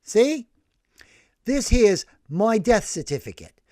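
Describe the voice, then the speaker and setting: A man voicing a brash, excitable older working-class man who tells his story in animated slang. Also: sits high, slow, no room sound, clean studio sound, voice pushed up, full character voice. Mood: indignant.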